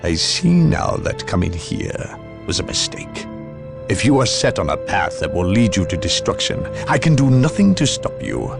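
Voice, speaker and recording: A man's voice: low voice, sing-song, moderate pace, gravelly, exaggerated voice. An actor playing a haughty, severe inquisitor in a game cutscene, formal and imperious in his delivery. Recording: loud music behind.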